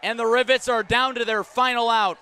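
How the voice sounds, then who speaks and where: A man calling a baseball game live on radio as play-by-play announcer, measured between pitches and emphatic when the action comes. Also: fairly even intonation, pushed and bright, smooth, a faint crowd. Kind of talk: live sports radio commentary.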